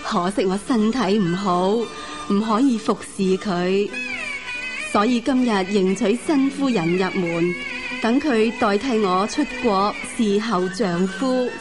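A woman's voice: mid-range voice, slow, close to an everyday voice. A woman in a radio drama playing a composed, dutiful, formal middle-aged wife. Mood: solemn, gracious. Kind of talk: Cantonese radio drama acting. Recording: radio broadcast with music underneath.

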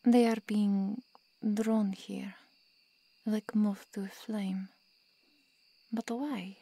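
A woman playing a stern, world-weary vampire in an ASMR roleplay drama, husky, with measured, formal, solemn diction. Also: mid-range voice, steady tone, warm tone, dry recording, exaggerated voice. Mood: grave, guarded.

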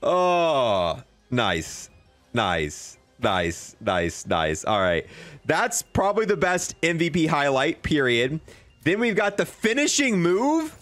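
A man hosting a game review video with excited commentary, talking in an enthusiastic, slangy bro style. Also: fairly high pitch, slow pace, soft background music.

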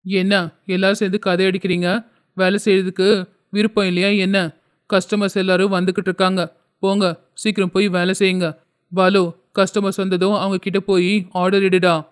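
Man playing a high-pitched, stern, bossy boss. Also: flat delivery, moderate pace, clean tone, close to an everyday voice. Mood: impatient. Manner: commanding, scolding. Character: stern, bossy male hotel owner, impatient.

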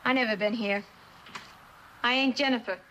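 A woman's voice: on the high side, steady tone, normal speed, pushed and bright, lo-fi sound. A young American woman playing a brash, flippant, defensive eighteen-year-old orphan girl in a vintage suspense drama, slangy and wisecracking. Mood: wry, defensive. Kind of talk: 1960s television suspense drama acting. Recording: noisy vintage TV drama soundtrack.

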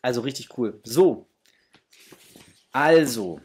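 Man, thirties to forties, husky voice, mid pitch, casual vlog chat, effusive, affectionate. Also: lively intonation, slow pace, darkish tone. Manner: effusive, affectionate toward viewers. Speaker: host of a toy unboxing channel. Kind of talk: casual chatty unboxing vlog. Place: small indoor home room.

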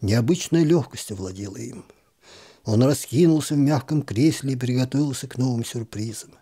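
A man reads a literary story aloud in a calm, measured voice. His tone is dark and mellow, with a husky edge, and he sometimes sinks to a near whisper. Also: mid-range voice. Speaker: narrator. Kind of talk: literary audiobook reading.

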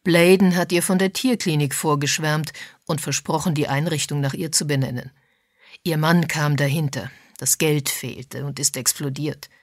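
A woman's voice: sits low, fairly even intonation, normal speed, no room sound, studio quality. A woman voicing a composed, practical man, a methodical amateur sleuth in an audiobook. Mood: explanatory. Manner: methodical, explanatory.

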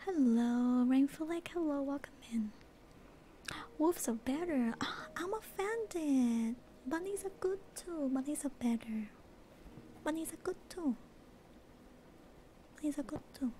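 Young woman streamer, slight accent, unhurried breathy ASMR whisper, hissy close-mic audio. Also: sits high. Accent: slight non-American accent. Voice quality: soft airy whisper. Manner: relaxed, rambling, reads chat aloud. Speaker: VTuber streamer.

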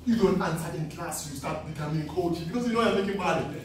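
A young man from South Africa pitches a trading class to seated students, chuckling as he brags. His voice sits a little high. The room rings with echo and the camera is far from him, with faint noise underneath. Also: quick pace. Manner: animated, boastful, laughs often. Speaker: forex trading speaker. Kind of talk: live seminar talk to students. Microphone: far camera, no mic.

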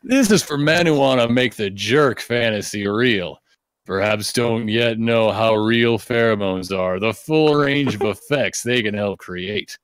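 A man's voice: fairly low pitch, unhurried, smooth, no echo. A man reading aloud on a podcast, adding joking asides. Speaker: podcast reader.

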